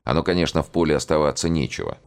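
Very deep, gravelly, stolid seminarian. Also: male voice, very animated, very fast, faint music behind, exaggerated voice. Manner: ponderous, terse. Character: big, stolid, laconic male seminary theologian. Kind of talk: audio drama of a classic story.

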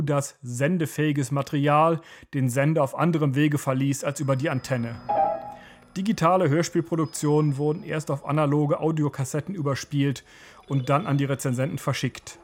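Male radio essayist, lighter higher pitch, scripted narration, measured lecturing delivery.

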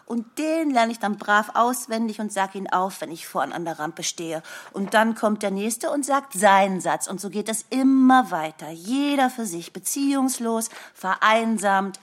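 An actress playing a sarcastic stage performer in a radio play, needling a colleague with biting, theatrical irony. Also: female voice, mid-range voice, close to an everyday voice. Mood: bitterly ironic.

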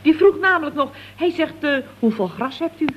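A high-pitched, scatterbrained chatty office worker. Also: female voice, expressive, fairly quick. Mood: rambling, amused. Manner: rambling, giggly, naively earnest. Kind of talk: 1970s Dutch radio comedy acting. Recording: dull, lo-fi old radio recording.

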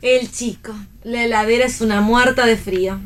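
A woman's voice: fairly high pitch, fairly even intonation, moderate pace, natural voice. An Argentine woman playing a testy, dry-witted young pregnant wife in a radio play reading. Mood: dry, teasing. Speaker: actress in a read-theatre radio play.

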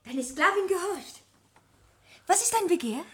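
An actress playing a coy, greedy young slave girl in a verse radio play, flattering and teasing theatrically. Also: very high voice, measured pace, exaggerated voice. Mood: submissive, flattering. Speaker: actor.

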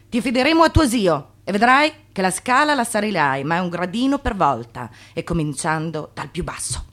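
Female radio drama narrator: ironic, emphatic, lower register, swooping intonation. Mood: stern. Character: theatrical female narrator, knowing, ironic, declaiming.

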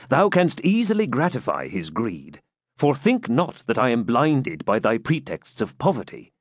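A man's voice: medium pitch, dry recording, studio quality. A man voicing a bold, plain-spoken English outlaw captain in a storybook, grave and commanding in archaic speech.

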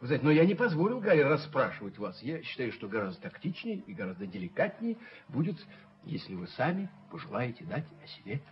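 An actor playing a fussy, pompous gentleman in a radio play, affected and effusive, repeating his words. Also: on the high side, sing-song, quick pace, gravelly. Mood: obsequious, effusive. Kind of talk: Soviet radio play acting. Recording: dull, hissy 1970s radio recording.

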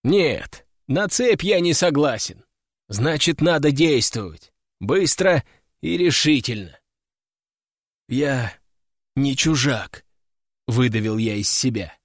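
A man's voice: on the high side, big pitch swings, a little slow, no room sound, studio quality. A man narrating a fantasy audiobook in the first person, in a wry, ironic tone.

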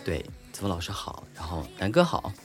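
A man hosting a casual radio drama chat, teasing and excitable, often stumbling over and repeating his words. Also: deep voice, brisk. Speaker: host of a radio drama fan talk. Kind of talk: casual chat with scripted questions. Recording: music behind the voice. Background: music.

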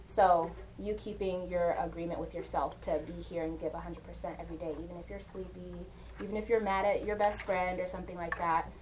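Casting note: young woman counselor, slightly low voice, encouraging, talking to teens in a noisy room. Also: steady tone, fairly quick, recorded from a distance. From Uganda. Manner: encouraging, asks the group questions, frequent "right?". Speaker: counselor and guest speaker. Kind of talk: informal talk to a youth class.

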